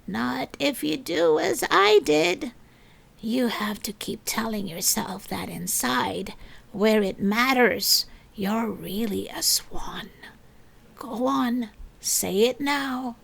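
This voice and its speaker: A woman voicing a calm, kindly older woman neighbour, gentle, soothing and encouraging, in a children's storybook. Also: a little high, slow pace, gravelly, slightly rough, pitched above the performer's own voice, full character voice. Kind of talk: children's storybook read-aloud.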